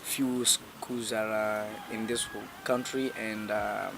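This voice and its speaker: A man's voice: lowish voice, flat delivery, slow pace, creaky, noisy background. A young man in his twenties with a Malawian English accent, a sponsored student speaking earnestly and repeating himself in an interview. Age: young adult. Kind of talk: casual interview answer.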